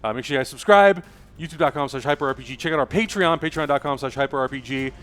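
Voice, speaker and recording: A man's voice: medium pitch, quick pace, fairly bright tone, faint music behind. A man co-hosting a film review show, talking casually and without a script. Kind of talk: casual unscripted movie review chat.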